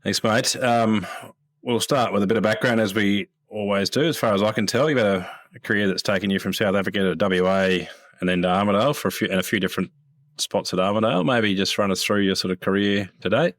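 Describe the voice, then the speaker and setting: A man hosting a podcast, talking in a relaxed, rambling way as he introduces and questions his guest. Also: on the low side, fast talker, dry recording. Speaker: podcast host. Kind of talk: casual interview chat.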